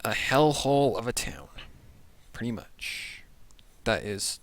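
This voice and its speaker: A man's voice: medium pitch, big pitch swings, slow, dry recording. A man hosting a reaction video with casual, rambling, informal commentary. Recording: music playing behind the voice. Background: music.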